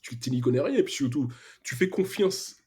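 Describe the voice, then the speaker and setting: A man's voice: mid-range voice, expressive, brisk, a little mellow, breathy edge. A young man from Martinique with an Antillean accent, telling his story casually in a podcast interview, with lots of hesitations and slang. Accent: Antillean French. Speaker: podcast guest, freelance web developer.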